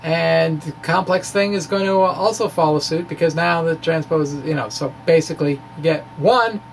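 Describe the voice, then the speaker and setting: A man giving an informal, animated explainer of his own material, with wry asides and a gravelly edge to his voice. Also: a little high, moderate pace, slight background noise, low-quality recording. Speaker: physics blogger presenting his own puzzles. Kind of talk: informal explainer, reading and discussing a blog.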